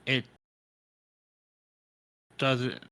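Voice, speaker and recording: A man playing a tabletop game, talking casually and haltingly, repeating words as he thinks aloud. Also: pushed and bright. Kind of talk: casual roleplay and table chat.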